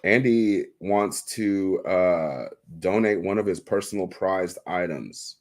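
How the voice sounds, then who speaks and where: Very low-voiced man hosting a livestream. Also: takes it slowly. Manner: chatty, laughs often, loose and joking. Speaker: livestream host. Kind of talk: casual livestream chat. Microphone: desk microphone.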